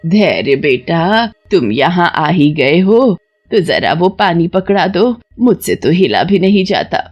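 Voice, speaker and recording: A woman's voice: on the low side, sing-song, slow, darkish tone, slightly rough, lo-fi sound, faint music behind, heavily acted. A woman voicing a scheming, self-pitying old grandmother who whines and drawls, in a cartoon story. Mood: feebly wheedling.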